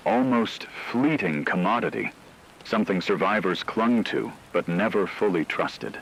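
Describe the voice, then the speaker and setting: A man reading dramatic scripted fiction narration in a solemn, measured way. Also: sits low, vocal fry, a bit bright, a little hiss or hum, low-quality recording.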